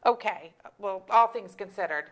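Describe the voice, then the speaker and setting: A woman's voice: mid-range voice, normal speed, close to an everyday voice. A woman acting an exasperated, candid middle-aged mother in a staged comedy reading, with a wry, emphatic delivery. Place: auditorium stage.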